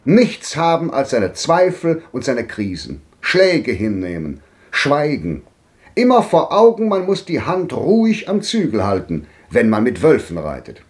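An actor playing a grandly bitter old man in a 1950s radio drama, declaiming with sweeping swings between loud and hushed. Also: mid-range voice, wildly swooping pitch, a little slow. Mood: brooding. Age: older.

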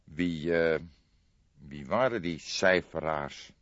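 A man voicing a calm, probing old male police detective with a deliberate, insistent manner in an audiobook. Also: a bass voice, dry recording, highs cut off, exaggerated voice. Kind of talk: audiobook character dialogue.

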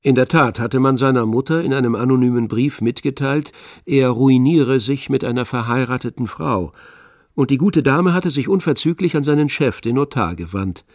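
Man as omniscient storyteller, literary radio play, measured delivery, creaky voice, very dry close recording. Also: mid-range voice, darkish tone, dry recording, natural voice. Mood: matter-of-fact. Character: omniscient male narrator of a literary tragedy. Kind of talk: scripted literary narration in radio drama.

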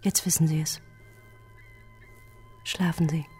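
A devout, chilly maternity nurse in a radio play. Her voice is very low for a woman, and she speaks in a composed, matter-of-fact way with unshakable certainty. Faint music sits in the recording. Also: steady tone, takes it slowly, a little mellow. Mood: cold, firm. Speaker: actress in a radio drama. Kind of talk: German radio drama acting.

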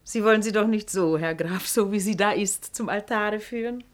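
A woman's voice: mid-range voice, normal speed, natural voice. An actress playing a watchful, commanding middle-aged noblewoman and mother, formal and insistent, in a classical German radio play. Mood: brisk. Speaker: actor.

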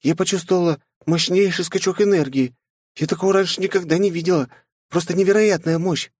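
A man's voice: high-pitched, fairly even intonation, a little fast, darkish tone, no room sound, voice pushed up. A male narrator voicing an emotional, devoted female servant who guards her master, in an audiobook. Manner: gushing, emotional. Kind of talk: audiobook character voice.

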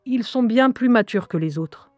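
A woman playing a lively young schoolgirl who narrates her life in a wry, confiding, expressive way in an audio drama. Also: on the high side, moderate pace, no room sound, faint music behind, lightly acted. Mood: confiding narration. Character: lively eleven-year-old schoolgirl recounting life with inner voices.

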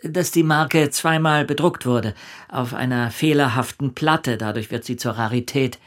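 A man's voice: medium pitch, normal speed, fairly bright tone, no room sound, clean studio sound, natural voice. A man acting a shy, modest middle-aged bachelor in a radio play, with a hesitant, subdued way of speaking. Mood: quiet, matter-of-fact.

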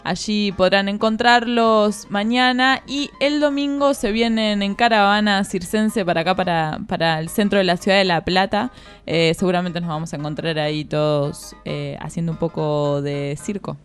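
A woman's voice: medium pitch, steady tone, normal speed, faint music behind. A woman hosting a radio show, giving announcements and encouraging her guests. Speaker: radio host. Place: radio studio.

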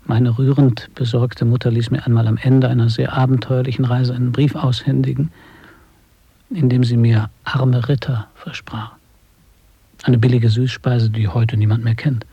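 A man acting an earnest, reflective adventurer in a radio drama, speaking in a measured, introspective way. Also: medium pitch, little pitch movement, slightly rough, muffled recording. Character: earnest, reflective male adventurer setting out for the Pole.

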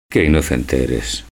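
Deep-voiced, wistful old husband. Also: male voice, full character voice. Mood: tender, knowing. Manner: theatrical, tender, declaiming. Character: gentle, wistful old husband, scheming and dreamy. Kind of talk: theatrical radio drama acting.

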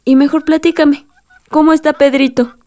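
A woman's voice: sits high, fairly even intonation, normal speed, warm tone, natural voice. An actress with a Mexican accent playing a determined, spirited young woman from a village in a radio drama, polite but outspoken. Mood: affectionate. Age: young adult.

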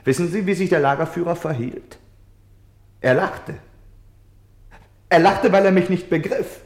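An actor playing a defiant, self-justifying German man in a radio play, declaiming theatrically between hushed confiding and shouting. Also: on the high side, a little slow, reverberant, lightly acted. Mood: bitter, agitated.

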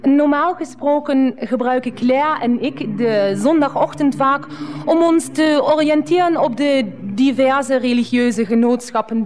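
A woman's voice: high voice, a little mellow. A woman playing a sweet, doting German lodger in a radio play, coaxing and slipping German words into her German-accented speech. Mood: formal, cheerful.

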